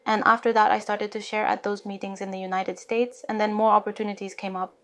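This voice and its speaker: A woman's voice: mid-range voice, little pitch movement, brisk. A woman from North Korea telling her life story in an earnest, reflective way during a casual chat.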